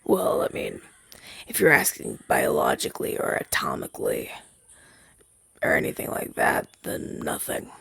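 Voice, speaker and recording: A man's voice: a little high, wildly swooping pitch, moderate pace, gravelly, a bit bright, husky, dry recording, clean studio sound, heavily acted. A young man playing a chatty, down-on-his-luck crow demon, once a witch's familiar, in a hesitant, stammering roleplay audio drama. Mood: awkward, explaining.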